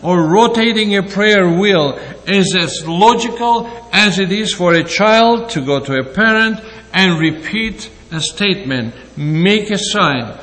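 An older man with a Greek accent preaches a sermon in a fairly high voice, pausing often and hesitating as he goes. His words come slowly and deliberately. The sound has a long, hall-like reverb around it. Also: fairly bright tone. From Greece. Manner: slow and deliberate, with frequent hesitations.

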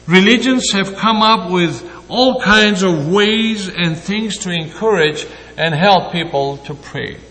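An older man with a Greek accent, giving a sermon slowly and deliberately with frequent hesitations. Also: high voice, bright, forceful tone, long reverb tail, rough recording. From Greece.

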